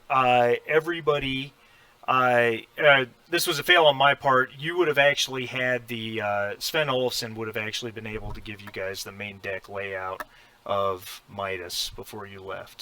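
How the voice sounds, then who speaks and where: A man's voice: medium pitch, sharp tone. A man running a tabletop role-playing game online as game master, casual and hesitant, often repeating phrases as he thinks. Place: home, online group voice call.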